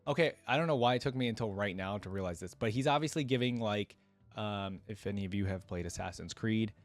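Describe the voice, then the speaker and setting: A man's voice: fairly low pitch, fairly quick, faint music behind. A man hosting a reaction video, reading a story aloud and commenting casually as he goes.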